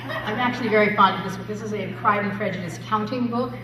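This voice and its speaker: A woman's voice: medium pitch, quick pace. A middle-aged woman speaking as an author about her subject to the public. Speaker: author giving a book talk. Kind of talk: public talk and interview. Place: large library meeting hall. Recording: roomy hall, slightly distant, audience noise. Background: audience chatter.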